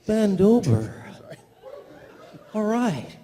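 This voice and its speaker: Mellow, drawling ghostly mentor. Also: male voice, high voice, big pitch swings, drawn-out words, full character voice. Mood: laid-back. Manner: drawling, languid. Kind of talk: live comedic script reading. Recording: background noise of convention hall.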